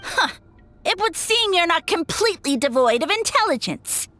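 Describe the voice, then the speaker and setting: High, pompous mushroom villain. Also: female voice, big pitch swings, moderate pace, pushed and bright, heavily acted. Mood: smug. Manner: pompous, grandiose, theatrical. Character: haughty scheming mushroom villain posing as a god. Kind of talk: video game voice acting. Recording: music behind the voice.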